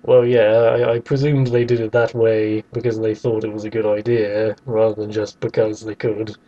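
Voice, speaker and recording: A man's voice: lowish voice, flat delivery, moderate pace, dark tone, highs cut off. A man co-hosting a podcast, debating casually in a wry, sceptical way. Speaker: podcast co-host.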